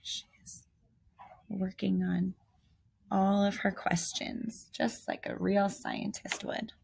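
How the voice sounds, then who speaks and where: A woman's voice: medium pitch, level tone, takes it slowly, gravelly, darkish tone, slightly rough, poor audio. A woman reading a children's picture book aloud with theatrical emphasis and little asides to young listeners. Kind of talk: expressive read-aloud storytelling.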